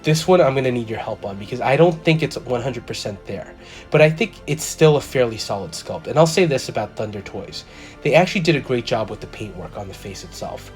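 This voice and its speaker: Guy with a bit of a cold doing a calm toy review, plain mid voice, faint music behind. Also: mid-range voice, fairly quick. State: slightly congested from a cold. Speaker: toy collector channel host. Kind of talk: scripted product review voice-over.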